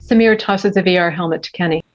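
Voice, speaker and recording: A woman's voice: fairly low pitch, quick pace, dull audio, a little hiss or hum. A woman reading stage directions as narrator at a screenplay table read.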